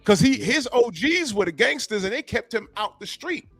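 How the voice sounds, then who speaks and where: A man with a notably high voice who hosts a podcast, talking fast and loose with plenty of swearing. He acts out little quotes as he goes. The sound is dry and close, with faint music underneath. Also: husky, no echo. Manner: animated, profane, storytelling with put-on quotes. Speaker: podcast host. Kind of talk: casual podcast chat.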